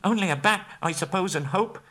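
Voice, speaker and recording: A man reading aloud and voicing a timid, fussy male hobbit with a high, fretful voice that grows breathless when he is scared. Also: sing-song, normal speed, fairly bright tone. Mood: frightened, calling.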